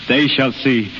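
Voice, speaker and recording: An actor playing a proud, excitable unorthodox healer who speaks in short emphatic commands, in 1930s radio drama style. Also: male voice, mid-range voice. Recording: muffled, hissy, reverberant 1930s radio recording.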